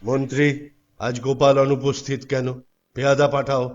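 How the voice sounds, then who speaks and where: A man's voice: mid-range voice, little pitch movement, normal speed, lo-fi sound, lightly acted. A man voicing a stern king holding court in a cartoon, formal and commanding as he questions his subjects. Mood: puzzled, commanding. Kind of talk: cartoon voice acting.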